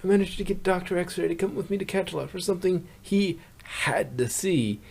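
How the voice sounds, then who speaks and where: A man's voice: high-pitched, fairly quick, vocal fry, darkish tone, slightly rough, full character voice. Man playing a chatty, pun-loving pony. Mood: cheerful. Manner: chatty, eager questioner. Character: good-natured male pony who loves puns. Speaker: blog narrator. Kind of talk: scripted narration for a fan comic.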